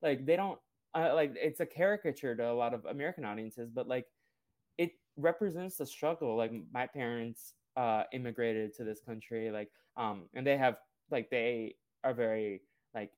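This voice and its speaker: A man in his twenties hosts a podcast in easygoing conversation. His first language is Portuguese. He speaks at a middle pitch and rambles loosely through his points. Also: normal speed. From Brazil. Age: 20s. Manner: relaxed, rambling, many fillers. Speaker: podcast host. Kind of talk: casual interview chat.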